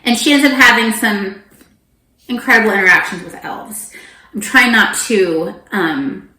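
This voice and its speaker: Bright-voiced, chatty woman in a roomy space. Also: mid-range voice, unhurried. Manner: animated, informal, self-interrupting with asides. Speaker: book review vlogger. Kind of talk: casual, chatty monologue to camera.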